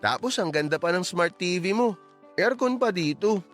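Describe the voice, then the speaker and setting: High-pitched sweet-talking young boyfriend. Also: male voice, animated, moderate pace, a bit bright, soft background music. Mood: cajoling. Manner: wheedling, coaxing, teasing. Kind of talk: Tagalog romance audio drama acting.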